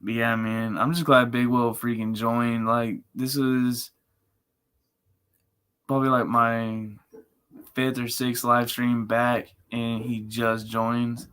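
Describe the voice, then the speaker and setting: A man with a monotone, chill voice, rambling casually and slangily as a live streamer. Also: medium pitch, slow pace, clean tone. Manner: laid-back, chill. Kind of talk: casual livestream chat.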